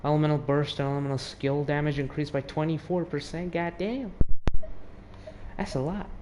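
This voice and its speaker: A man's voice: fairly high pitch, steady tone, normal speed. A teenage boy giving casual, muttering, sweary commentary while playing a video game. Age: teens. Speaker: gamer commenting on gameplay. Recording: noisy home gaming recording.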